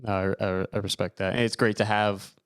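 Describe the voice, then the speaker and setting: Young male host, low voice, dry close sound, loose and rambling. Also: level tone, normal speed, clear, resonant tone, dry recording. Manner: rambling, many fillers and restarts. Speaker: podcast host. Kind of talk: casual interview chat.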